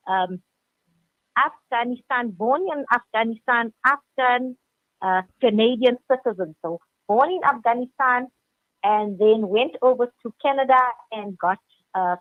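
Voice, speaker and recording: A woman's voice: fairly high pitch, dry recording. A woman radio presenter telling a story in live discussion, speaking emphatically and repeating key phrases. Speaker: senior radio presenter, studio guest. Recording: narrow phone-like sound.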